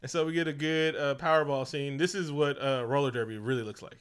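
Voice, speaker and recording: A man co-hosting a comedic movie recap, talking in an animated, profane way and acting out the scenes. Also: fairly high pitch, quick pace, smooth. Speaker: podcast co-host.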